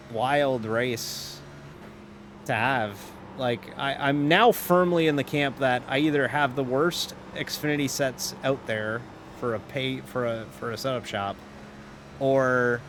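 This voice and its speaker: A man at a home streaming setup, talking to his viewers while he races. His voice sits at a middle pitch and he rambles loosely, trailing off and circling back. A faint hum runs underneath. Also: a bit bright. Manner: rambling, self-interrupting, quick to laugh. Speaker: sim racing streamer. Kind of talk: casual live-stream chat while racing.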